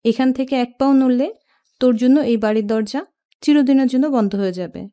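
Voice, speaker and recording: Audio drama mother: actress as irritated, forbidding middle-aged woman, fairly high but mellow-toned. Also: fairly quick, natural voice. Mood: angry, stern. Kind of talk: audio story character acting.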